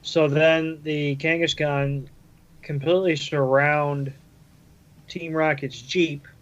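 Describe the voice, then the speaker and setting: A man's voice: fairly high pitch, steady tone, takes it slowly, dull audio. A man co-hosting a podcast, chatting casually and recapping in a conversational way. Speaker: podcast co-host. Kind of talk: casual chat and episode recap.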